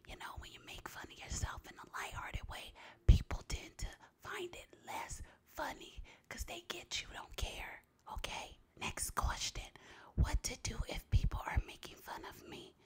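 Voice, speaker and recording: A woman's voice: very high-pitched, animated, normal speed, vocal fry. A woman from Los Angeles whispering blunt, sassy advice in an ASMR style. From Los Angeles, USA. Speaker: advice giver.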